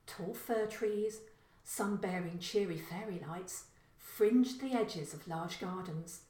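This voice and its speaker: A woman's voice: on the low side, takes it slowly, mellow, far from the mic. Woman narrating crime fiction in echoey room. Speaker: author reading own story. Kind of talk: scripted crime story narration.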